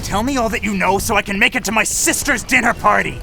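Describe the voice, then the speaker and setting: A man's voice: high voice, quick pace, gravelly, music in the background. A man voicing a manic, menacing young interrogator in an anime parody, volatile and theatrical. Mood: furious.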